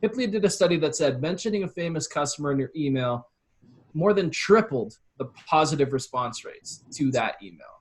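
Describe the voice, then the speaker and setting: A man's voice: fairly high pitch, lively intonation, fairly quick. A man giving advice as an interview guest, explaining his approach casually and conversationally. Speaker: interview guest, copywriting expert.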